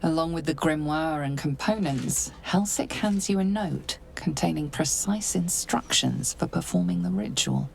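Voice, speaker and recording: A woman narrating a fantasy video game as a hushed storyteller. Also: low-pitched, measured pace, vocal fry, few pauses, a little hiss or hum, heavily acted. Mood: hushed, ominous. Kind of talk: scripted narration.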